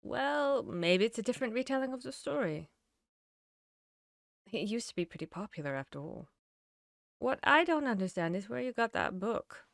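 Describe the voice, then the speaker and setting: A woman's voice: fairly high pitch, sing-song, normal speed, creaky, long pauses, dry recording, clean studio sound. A woman playing a protective, caring vampire hunter in an intimate fantasy audio roleplay, speaking directly to the listener. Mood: puzzled. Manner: intimate, addressing the listener directly, shifting between hushed and urgent.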